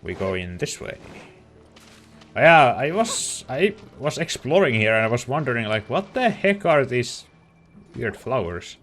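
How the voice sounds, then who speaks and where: A man does casual let's play commentary, musing aloud in short remarks. His delivery is slow but his pitch moves around a lot, and soft game music plays behind him. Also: very animated.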